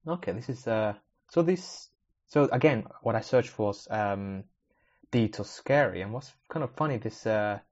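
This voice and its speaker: A man's voice: fairly low pitch, darkish tone. A man whose first language is Spanish, hosting a casual solo podcast, thinking aloud in a rambling, wry way that often breaks off mid-sentence. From Spanish-speaking country, moved to the UK at age ten. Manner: rambling, self-interrupting, wry. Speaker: podcast host. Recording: slightly dull, lo-fi recording.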